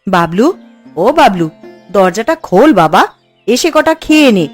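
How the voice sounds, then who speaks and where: A woman playing a fretful, scolding housewife and doting mother in a cartoon, exasperated and coaxing in an expressive way. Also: medium pitch, a little slow, soft background music. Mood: worried, coaxing. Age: adult. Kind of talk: cartoon voice acting.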